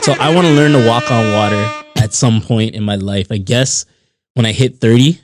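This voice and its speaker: A man's voice: mid-range voice, normal speed, loud music behind the voice. A man in his late twenties hosting a podcast, bantering and teasing his co-host in casual chat. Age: 20s.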